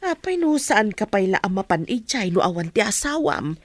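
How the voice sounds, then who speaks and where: A woman's voice: mid-range voice, big pitch swings, moderate pace, no echo. A woman acting a fond, concerned middle-aged village mother in a radio drama. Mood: worried, tender.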